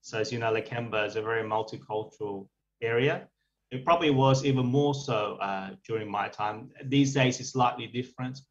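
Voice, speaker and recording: Halting priest with a muffled sound. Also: male voice, mid-range voice. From Vietnam. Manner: halting, many fillers and restarts. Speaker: priest guest. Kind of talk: podcast interview. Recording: dull lo-fi audio.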